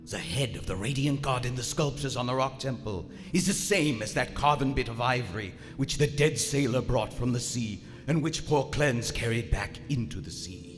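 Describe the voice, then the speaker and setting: A man's voice: medium pitch, natural voice. Man acting a doomed submarine commander, echoing hall. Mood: dread, resolve. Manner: formal, deliberate, brooding. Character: proud German WWI submarine commander slipping into madness. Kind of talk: dramatic first-person radio narration. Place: large theater stage. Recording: live theater stage, long reverb, music underneath.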